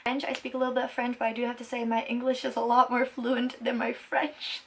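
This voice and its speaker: A woman's voice: a little high, level tone, fairly quick, fairly bright tone, a little hiss or hum. A woman in her twenties from Belgium, a native Dutch speaker, chatting casually to viewers in an earnest, enthusiastic and emphatic way. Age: 20s. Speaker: vlogger and musician.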